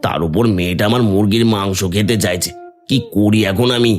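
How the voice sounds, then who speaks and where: A man plays a poor village laborer in a cartoon, his voice low and earnest. He sounds humble and worried. Soft music plays behind him. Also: fairly quick, lightly acted.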